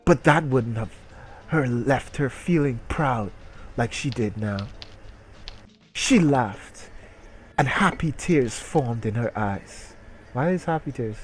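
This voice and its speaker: A man's voice: medium pitch, animated, slow, pauses often, slight background noise. A man doing a mocking dramatic reading with asides, sarcastic, profane and exclamatory. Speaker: streamer reading aloud.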